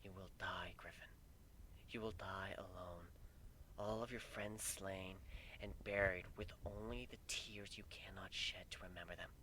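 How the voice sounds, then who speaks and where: A man plays a sorrowful, wise winged princess in a fan fiction story reading. The voice is low and hushed, nearly a whisper. The delivery is slow, grave and breathy. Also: steady tone, slight background noise, low-quality recording. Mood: grave warning.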